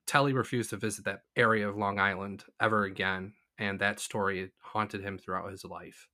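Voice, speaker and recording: A man's voice: fairly low pitch, flat delivery, normal speed, sharp tone. A man co-hosting a talk show, telling an anecdote in an informal storytelling style.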